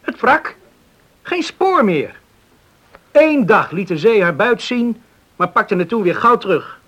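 A friendly island beachcomber in a radio drama. His voice sits high for a man, and he talks earnestly, now and then hesitating like a shy suitor. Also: very animated, slow pace, a bit bright, heavily acted. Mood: earnest, intrigued. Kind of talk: radio play acting.

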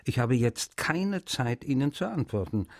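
A brusque writer, dry close sound. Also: male voice, mid-range voice, big pitch swings, unhurried, dry recording. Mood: brisk, snippy. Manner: casual, slightly snippy. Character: busy, casually brusque male writer. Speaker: voice actor reading letters. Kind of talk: dramatised letter reading in a radio feature.

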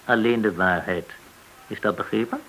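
An actor in a Dutch radio play playing a menacing gangster interrogator, controlled and commanding, full of veiled threats. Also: male voice, lowish voice, moderate pace, fairly bright tone, a little hiss or hum, natural voice, rough recording.

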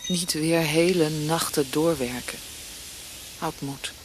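A woman's voice: sits low, steady tone, slow, slight background noise. An actress playing a tender, devoted and anxious young woman in a Dutch radio drama. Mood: tender farewell.

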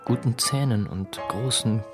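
A man playing a courteous, hesitant and gently pedantic English tutor in a radio drama. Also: on the low side, a little slow, music in the background, close to an everyday voice. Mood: wry narrating. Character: polite, hesitant male English tutor living abroad. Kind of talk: radio drama acting, wry literary narration.

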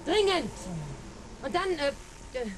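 Determined female hijacker in a thriller radio play: high-pitched, controlled, threatening, noise behind. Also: unhurried. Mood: resolute, threatening. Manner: calm, resolute. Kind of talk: German radio play dialogue.

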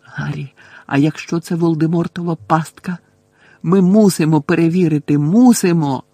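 A man's voice: fairly high pitch, normal speed, dark tone, no echo. A man voicing an earnest, anxious teenage girl witch in an audiobook, pleading and stubbornly reasoning. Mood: anxious, determined.

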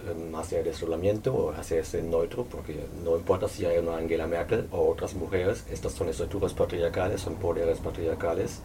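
A non-native Spanish speaker presenting their book at a public talk, speaking hesitantly and thoughtfully with many pauses and fillers. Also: low-pitched, a little mellow, far from the mic, a little hiss or hum. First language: not Spanish. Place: local venue at a public presentation.

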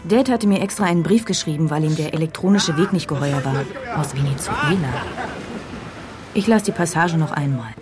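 A woman's voice: deep voice, moderate pace, natural voice. An actress playing a wry young woman who tells her own story in a dry, sardonic radio drama narration. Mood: sardonic, content. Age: young adult. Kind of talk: scripted first-person radio drama narration. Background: music.

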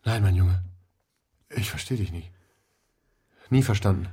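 A man's voice: deep voice, a little slow, mellow, husky. A man playing a bewildered, cold middle-aged father in a radio drama, speaking in terse, repeated phrases. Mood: shocked, bewildered. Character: bewildered, cold industrialist father refusing to help son.

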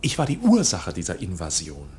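A man's voice: low-pitched, huge pitch swings, brisk, darkish tone. An actor in a German radio play as an anxious, intense man under arrest, speaking formally and hesitantly with bursts of agitation. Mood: uneasy, formal.

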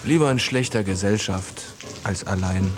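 A man's voice: lowish voice, moderate pace, over loud music, natural voice. A man playing a folksy village man.

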